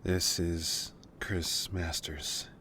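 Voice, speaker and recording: A man playing a weary, haunted lone crash survivor recording halting, murmured log entries in a horror audio drama. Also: level tone, sharp tone, full character voice. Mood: bewildered, weary. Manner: halting, brooding, trailing off. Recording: faint noise and low rumbling ambience.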